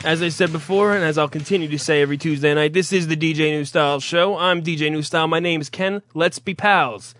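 A man's voice: fairly high pitch, fairly even intonation, fast, hardly any pauses, a bit bright, no room sound, clean studio sound, soft background music. A man hosting an internet radio show, teasing and profane in casual comic banter.

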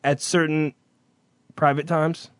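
Crude, teasing man with a slightly high voice. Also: level tone, slow pace, no room sound, studio quality. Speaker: podcast co-host. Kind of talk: casual banter.

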